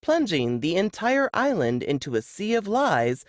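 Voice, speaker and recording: A woman reading a scripted book blurb in a measured, dramatic storytelling manner. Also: mid-range voice, big pitch swings, no room sound, studio quality.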